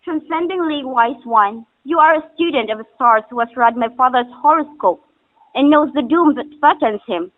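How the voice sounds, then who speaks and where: A woman's voice: sits high, normal speed, no room sound, natural voice. A woman playing a young, devoted daughter in a stage drama, speaking formal, pleading lines in a declamatory way. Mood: earnest, respectful. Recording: muffled phone-like audio.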